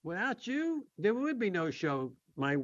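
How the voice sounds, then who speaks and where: A man's voice: sits high, very animated, measured pace, no echo, lo-fi sound. A man hosting a radio talk show, thanking his co-hosts and team in a loose, rambling way. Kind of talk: casual radio chat.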